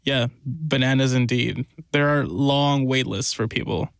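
A man's voice: mid-range voice, unhurried, gravelly. Explaining male podcast reporter. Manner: explanatory, lightly amused. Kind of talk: conversational news podcast.

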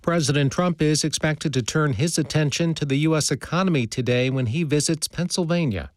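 A man's voice: a little high, fairly quick, no room sound, clean studio sound. A man reading a scripted radio newscast.

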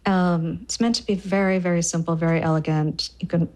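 A woman's voice: fairly low pitch, steady tone, a little fast, darkish tone. A woman cookbook author talking enthusiastically about a recipe in an interview. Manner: enthusiastic, repeats words while thinking. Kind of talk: casual interview.

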